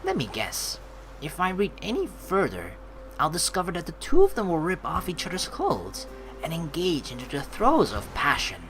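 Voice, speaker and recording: A woman's voice: lowish voice, wildly swooping pitch, moderate pace, a bit bright, soft background music. A woman doing chatty let's play commentary, reading game lines aloud expressively. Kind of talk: casual gameplay commentary and script reading.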